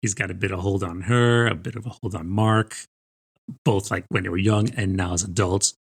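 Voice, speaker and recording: A man's voice: low-pitched, steady tone, normal speed, husky. A man co-hosting a book podcast, talking through a review in a conversational way.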